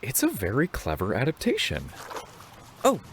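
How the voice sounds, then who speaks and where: A man's voice: mid-range voice, huge pitch swings, normal speed, husky. An actor playing an awkward, literal-minded otherworldly young man, stiff and over-formal, in a sci-fi romance drama. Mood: startled, fascinated.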